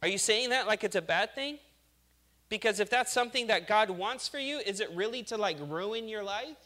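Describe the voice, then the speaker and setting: Guy pastor preaching casual, high bright voice, acts stuff out. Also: lively intonation, normal speed. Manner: conversational preaching, acts out complainers. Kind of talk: sermon.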